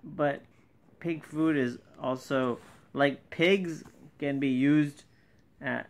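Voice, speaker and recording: A man's voice: mid-range voice, extremely slow. A man chats casually through a children's read-along. He prompts words and comments in a playful, teasing way, as an informal helper.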